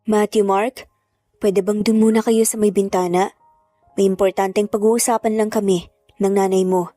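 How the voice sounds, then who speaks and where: A woman's voice: medium pitch, level tone, moderate pace, warm tone, no echo, faint music behind, natural voice. A woman voicing an earnest, protective estranged father in a narrated family drama, urgent and intense. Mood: concerned.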